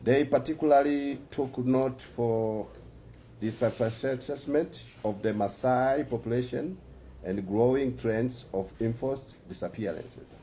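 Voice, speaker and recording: A man with a Tanzanian accent reads a prepared statement aloud in a formal way, sometimes halting over words. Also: medium pitch, mellow, slight background noise, poor audio. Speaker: musician and activist.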